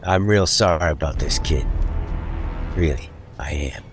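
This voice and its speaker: An actor playing a weary, menacing mob boss with a sentimental streak in a radio drama, deliberate in delivery. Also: male voice, extremely low pitch, over music. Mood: somber menace.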